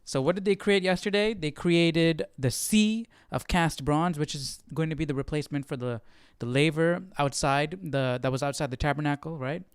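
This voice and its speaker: A man's voice: fairly high pitch, normal speed, hardly any pauses, clear, resonant tone, no echo, studio quality. A man leading a casual unscripted Bible study as host, thinking aloud hesitantly and often checking with a "right?". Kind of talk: casual unscripted teaching commentary.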